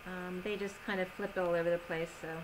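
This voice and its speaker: Soft-spoken woman reading tarot cards. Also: lowish voice, level tone, background noise. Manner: slow, soothing reading of oracle cards with gentle pauses. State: losing her voice. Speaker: tarot reader. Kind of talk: solo tarot reading video.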